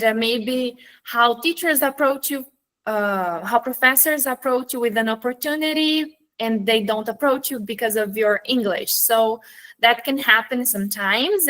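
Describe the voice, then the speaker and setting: Higher-pitched Brazilian-accented woman. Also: a bit bright, rough recording. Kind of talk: sharing personal experience in a seminar discussion.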